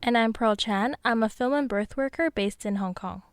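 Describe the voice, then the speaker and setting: A woman's voice: on the high side, normal speed, clean tone, no room sound, studio quality. A woman co-hosting a podcast, moving between conversation and explanatory narration. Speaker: podcast co-host.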